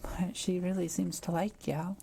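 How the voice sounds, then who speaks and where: A woman playing a kind, shy forest witch in an intimate audio roleplay, speaking gently and a little hesitantly to the listener. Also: lowish voice, little pitch movement, moderate pace, gravelly, slight background noise. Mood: gently surprised, flustered. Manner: gentle, hesitant, softly teasing.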